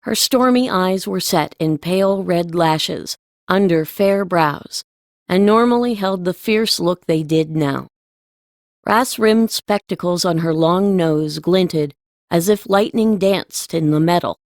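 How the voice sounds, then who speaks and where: A woman's voice: on the low side, takes it slowly, dry recording, clean studio sound. A woman reading scripted storybook narration as an audiobook narrator.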